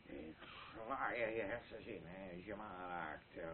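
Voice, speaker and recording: A man's voice: sits low, measured pace, noisy background. A man playing a drunken, threatening bully with slurred, rambling speech in a radio play. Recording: muffled, phone-like old radio recording.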